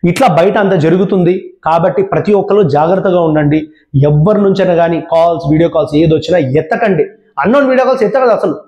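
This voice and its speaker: A man's voice: on the high side, fast talker, darkish tone, muffled recording. A man presenting a public awareness talk, giving earnest, repeated warnings straight to viewers. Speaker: channel presenter. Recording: roomy echo.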